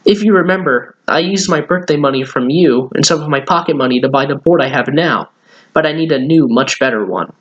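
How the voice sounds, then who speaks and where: A man voicing an excited, eager ten-year-old boy in a children's storybook. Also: fairly high pitch, a little fast, lo-fi sound, natural voice. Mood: explaining.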